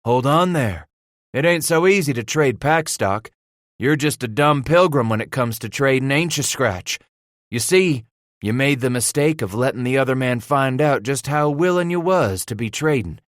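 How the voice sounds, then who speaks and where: A man's voice: medium pitch, lively intonation, moderate pace, dry recording, clean studio sound, pitched above the performer's own voice, natural voice. A man voicing a big, hot-tempered frontier trapper in an audiobook, growling in rustic dialect. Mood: sly, gruff bargaining.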